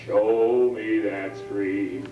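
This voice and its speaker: A man singing a slow show tune at a family reunion, with long drawn-out phrases. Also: on the low side, level tone, soft background music. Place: reunion hall. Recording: dull lo-fi home video, roomy hall, mic far off.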